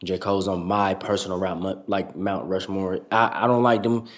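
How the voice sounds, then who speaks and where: A young man with a low, deep voice that stays flat and even as he talks. He rambles loosely through a casual interview, swearing freely and leaning on filler words. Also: moderate pace. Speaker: rapper guest.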